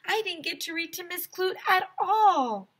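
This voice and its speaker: A woman voicing excitable, squabbling young schoolchildren in a storybook, whiny and insistent. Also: very high voice, a little slow, voice pushed up. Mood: whiny arguing.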